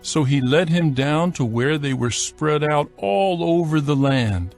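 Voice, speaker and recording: A man narrating scripture from a script in a solemn, measured storytelling manner. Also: fairly high pitch, lively intonation, vocal fry, darkish tone, faint music behind.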